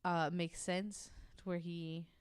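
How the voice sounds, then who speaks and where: Female podcast storyteller, deep and clean-toned, informal and wry, reading from notes with loose banter. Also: level tone, takes it slowly, clear, resonant tone, no room sound, clean studio sound. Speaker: podcast host.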